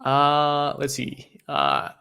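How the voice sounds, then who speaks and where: Young man, bright forceful tone, halting restarts, casual guest chat. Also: a little high, very animated, vocal fry, clear, resonant tone. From Portugal. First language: Portuguese. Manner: hesitant, many restarts and fillers. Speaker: guest, open source developer.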